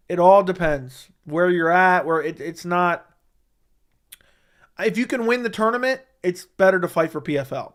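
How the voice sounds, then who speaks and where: A man's voice: high-pitched, a bit bright. Blunt, opinionated man hosting a livestream. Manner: blunt, opinionated, repeats himself for emphasis. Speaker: MMA commentary livestream host. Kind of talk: casual livestream chat reading viewer questions.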